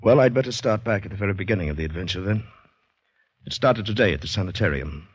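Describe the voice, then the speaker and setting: A man's voice: lowish voice, lively intonation, fast, husky. A man playing an anxious young soldier recovering from shell shock, hesitant and polite, in 1940s radio drama style. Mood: narrating. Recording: dull, roomy old radio recording.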